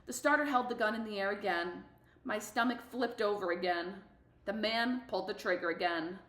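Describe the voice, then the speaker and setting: A woman's voice: mid-range voice, normal speed, a bit bright, natural voice. A woman voicing a fiercely competitive, sassy middle-school girl runner, telling her story with slangy, emphatic delivery in a storybook read-aloud. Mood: sassy, confiding. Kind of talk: expressive audiobook-style read-aloud. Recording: echoey room.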